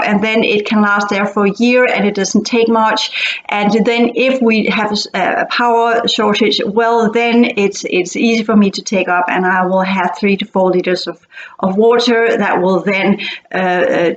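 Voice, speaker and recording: A woman's voice: medium pitch, slight background noise. Hesitant Danish-born woman, echoey room. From Denmark. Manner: hesitant, searching for words with many fillers and restarts. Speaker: host. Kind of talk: casual explanatory talk. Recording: echoey, dull-sounding room recording.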